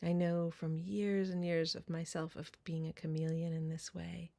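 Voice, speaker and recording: A woman leading a guided meditation in a soft, breathy, deliberate voice with long pauses. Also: on the low side, level tone.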